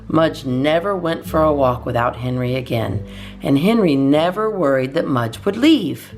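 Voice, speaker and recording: A woman narrates a children's storybook with a slightly low voice and a very animated, swooping melody. She phrases it deliberately, pausing between short lines. Soft guitar music plays behind her. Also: vocal fry. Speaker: storytime host reading a children's book aloud.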